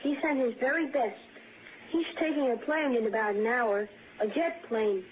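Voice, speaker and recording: A woman's voice: on the high side, moderate pace, natural voice. A woman playing a polite, earnest young boy who speaks plainly in a 1960s radio drama. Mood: eager. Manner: polite, short plain answers. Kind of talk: 1960s radio suspense acting. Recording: muffled old radio drama with faint noise.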